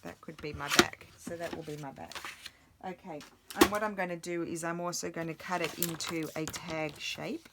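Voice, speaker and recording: A woman vlogging while she crafts, chatting casually and thinking aloud, her voice a little croaky from the morning. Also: fairly low pitch, steady tone, moderate pace, heavy background noise. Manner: rambling, self-interrupting, thinking aloud.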